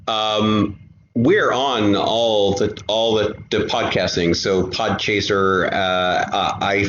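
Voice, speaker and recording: Slow-talking, low-voiced man, roomy recording. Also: fairly even intonation, a bit bright. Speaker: guest, podcast creator. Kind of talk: casual interview chat. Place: untreated city apartment room. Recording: roomy, dull sound with faint noise.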